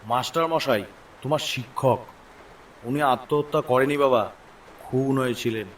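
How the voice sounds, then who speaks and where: A man's voice: medium pitch, normal speed, distant mic, slight background noise, lightly acted. A man playing an older village tea-stall keeper in a horror cartoon, speaking in a fatherly, cautioning and mysterious way. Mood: worried, cautioning.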